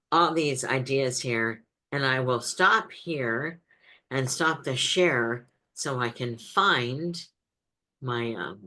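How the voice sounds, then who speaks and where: A woman's voice: rumbling low, bright, forceful tone. A woman speaker answering audience questions in a webinar over a video call, direct, with frequent ums and restarts.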